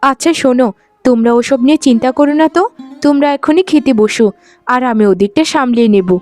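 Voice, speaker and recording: A woman voicing a gentle, dutiful sister-in-law in a cartoon village family drama, speaking patiently. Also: sits high, fast, soft background music, lightly acted. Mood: reassuring. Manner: patient, conciliatory.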